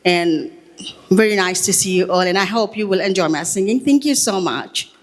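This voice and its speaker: A woman from Fiji steps up as a singing contestant and greets the audience warmly. Her voice sits in an ordinary middle range and her tone is friendly. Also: medium pitch, moderate pace. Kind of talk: stage self-introduction.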